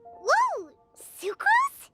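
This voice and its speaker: A tiny, excitable fairy sidekick from a video game. The voice is extremely high and childlike, with very animated swings in pitch. Delivery is cheeky and exaggerated, over clearly audible music. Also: female voice, extremely slow, full character voice. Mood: indignant, whiny. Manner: exaggerated, talks of self in third person. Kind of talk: video game cutscene voice acting.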